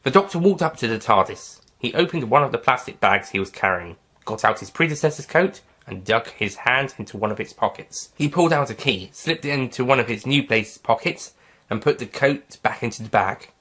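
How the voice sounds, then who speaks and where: Husky man reading a story. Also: mid-range voice, brisk. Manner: plain, steady reading. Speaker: narrator and writer. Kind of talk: reading a fan story aloud.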